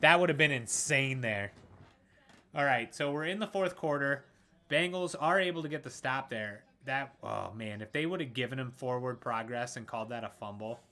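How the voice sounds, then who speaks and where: Excitable man, raspy from shouting. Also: mid-range voice. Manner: excitable, shouts at big plays. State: raspy from screaming. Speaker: gaming streamer doing play-by-play. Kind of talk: casual live sports commentary.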